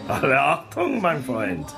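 A man's voice: mid-range voice, creaky, heavily acted. An actor playing a discreet, sly and courteous Japanese bartender in a radio play, polite and theatrical in his manner.

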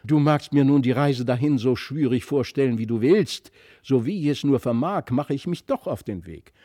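A man's voice: mid-range voice, dark tone, no room sound, clean studio sound, lightly acted. A man voicing an eager, impetuous, curious young boy in a storybook reading. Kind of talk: literary audiobook reading.

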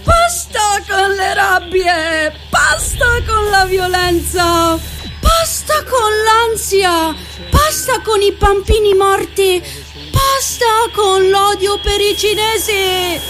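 A woman's voice: very high-pitched, lively intonation, slow, exaggerated voice. A young actress playing a manic, brazen and absurd young woman, declaiming theatrically on stage. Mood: strident protest. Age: young adult. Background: loud music.

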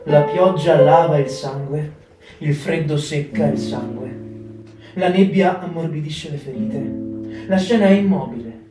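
A man narrates slowly and solemnly in a voice a little high for a man, laying out the scene detail by detail. He is heard from some way off in an echoing room, with a guitar playing loudly underneath. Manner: slow, solemn, descriptive. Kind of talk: performed theatre reading with music. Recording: echoey, distant mic, guitar under.

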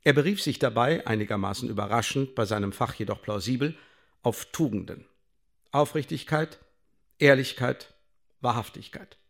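An actor playing a coolly bureaucratic secret service officer in a radio play, speaking in measured, formal officialese. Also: male voice, medium pitch, close to an everyday voice. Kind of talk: radio drama, dictated official report.

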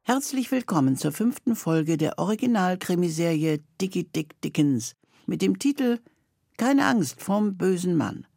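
Husky, creaky-voiced woman presenter. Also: on the low side, expressive, measured pace, mellow, dry recording, studio quality. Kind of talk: scripted podcast introduction. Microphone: close studio microphone.

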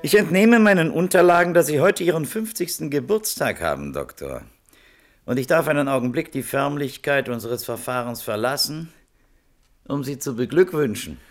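Formal interrogator with swooping intonation. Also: male voice, fairly high pitch, animated, soft background music. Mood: courteous, pointed. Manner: formal, deliberate, pointed. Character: formal, probing male interrogator, courteous but insistent. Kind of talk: German radio play, legal questioning.

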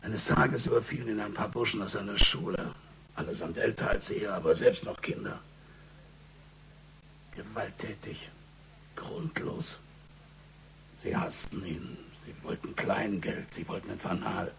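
An actor playing a gruff, weary police chief in a radio crime drama, speaking in a grave, ponderous way. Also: male voice, fairly low pitch, measured pace, long pauses, a bit bright, slight background noise. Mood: grave, recollecting.

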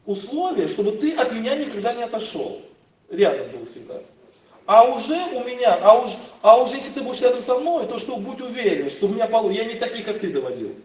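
A preacher giving voice to a calm, reassuring Christ. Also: male voice, very high voice, roomy sound, distant mic, poor audio. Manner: gentle, reassuring, patient. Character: calm, assuring Christ speaking to a disciple.

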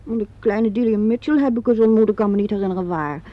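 A woman's voice: medium pitch, fast talker, dry recording, lightly acted. An actress playing a self-possessed, teasing woman of the theatre in a radio drama, bantering and flippant. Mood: composed. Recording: dull lo-fi radio play recording.

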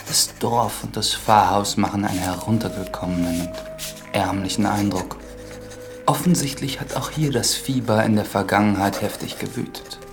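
A creaky-voiced, dutiful priest. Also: male voice, fairly low pitch. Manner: measured, formal, courteous. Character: dutiful, observant priest writing investigative notes. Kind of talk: German radio drama acting, journal narration.

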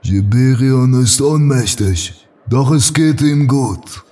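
Man acting a commanding temple guard leader, slow and distant. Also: medium pitch, mellow, voice pushed up. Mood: stern proclaiming. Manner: thundering, authoritative proclaiming. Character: commanding adult male temple guard leader, stern and imperious. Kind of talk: fan audio drama fantasy acting.

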